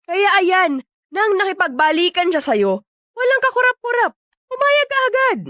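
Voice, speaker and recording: A woman's voice: very high voice, big pitch swings, normal speed, pushed and bright, no echo, studio quality, exaggerated voice. A Filipino woman acting in a radio drama as a blunt, sarcastic young best friend who scolds and teases. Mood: scolding. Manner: sassy, tactless, nagging.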